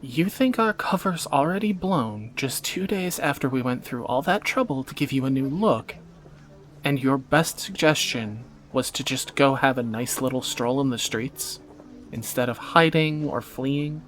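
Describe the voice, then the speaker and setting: A man's voice: mid-range voice, expressive, a little slow, a bit bright. A man playing a wry young thief in a romantic audio roleplay, teasing and sardonic in a conversational way, anxious yet affectionate. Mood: incredulous, worried. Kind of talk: scripted romantic audio roleplay, spoken to the listener.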